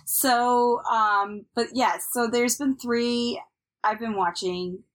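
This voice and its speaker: A woman's voice: fairly high pitch, fairly even intonation, slow pace, fairly bright tone. Chatty upbeat woman podcast co-host. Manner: chatty, upbeat, trails off with fillers. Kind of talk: casual chat.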